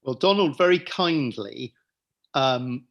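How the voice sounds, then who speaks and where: A man's voice: medium pitch, expressive, slow pace, vocal fry, lo-fi sound. A man talking as an author at an online book launch, chatty and self-deprecating, with joking asides, over a video call. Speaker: author and guest. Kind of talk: casual book-launch conversation.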